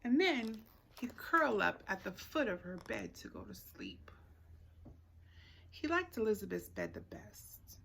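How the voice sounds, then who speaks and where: Slow-paced woman reading a children's story. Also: mid-range voice, vocal fry, slight background noise. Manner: measured, expressive storytelling with questions to listeners. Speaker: teacher reading a picture book. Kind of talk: read-aloud story time for young children.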